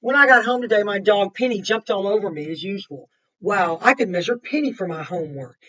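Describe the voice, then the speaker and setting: A woman reading aloud as an eager schoolgirl who chatters to her dogs in a storybook, with an expressive delivery. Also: mid-range voice, a little fast, dull audio, natural voice. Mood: eager, bossy. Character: eager schoolgirl measuring her dog for homework.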